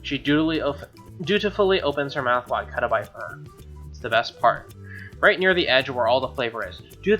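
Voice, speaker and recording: Casual game streamer, forceful bright delivery, slangy commentary between narrated lines, faint music underneath. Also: mid-range voice. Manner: slangy, exclaiming, opinionated asides. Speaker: streamer reading a visual novel aloud. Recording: music behind the voice.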